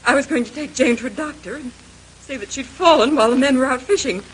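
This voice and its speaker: Actress as a high-voiced, distraught wife in old radio drama. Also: female voice, natural voice. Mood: anxious confession. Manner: halting, melodramatic, pleading. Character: distraught young wife confessing to an accidental killing. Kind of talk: 1930s radio drama acting. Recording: noisy vintage radio recording.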